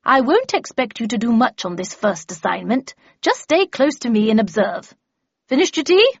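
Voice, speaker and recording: A woman voicing a brisk, bossy, cheerful older lady mentor in an audiobook. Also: fairly high pitch, big pitch swings, husky, full character voice. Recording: muffled audio, roomy echo.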